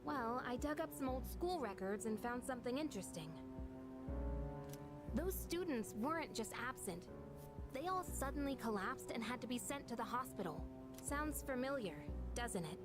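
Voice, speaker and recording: High-pitched, confrontational teenage schoolgirl. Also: animated, normal speed. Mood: pointed, suspicious. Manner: pointed, confrontational. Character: assertive suspicious teenage schoolgirl demanding answers. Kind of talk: English anime game voice acting. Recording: loud music under the voice.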